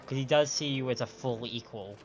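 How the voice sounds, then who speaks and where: Man, game streamer, medium pitch, casual, joking, chatty, slightly lo-fi sound. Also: fairly quick. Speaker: live streamer, host. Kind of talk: casual game playthrough commentary. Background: faint game music.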